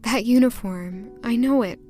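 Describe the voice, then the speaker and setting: A woman's voice: fairly high pitch, unhurried, dark tone, close to an everyday voice. A woman playing a polite, curious, easily flustered young noblewoman in an audio drama, earnest and eager. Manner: earnest, courteous. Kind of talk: audio drama acting with inner monologue. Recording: music behind the voice.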